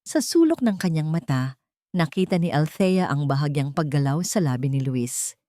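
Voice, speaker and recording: A woman with a Filipino accent narrates a romantic audio drama in a measured, emotive storytelling manner. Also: low-pitched, animated, warm tone, no room sound.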